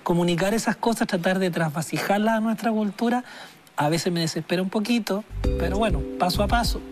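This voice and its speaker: High-voiced, thoughtful man. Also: over music. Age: 40s. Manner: slow, thoughtful, halting with many restarts. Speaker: singer-songwriter guest. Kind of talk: reflective interview talk.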